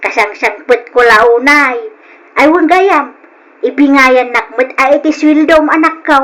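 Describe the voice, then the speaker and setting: A woman's voice: sits high, a little slow, a bit bright. An actress playing a stubborn, demanding older mother-in-law in a radio drama, brash and insistent, with a Filipino accent. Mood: demanding, brazen. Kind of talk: Ilocano radio drama acting.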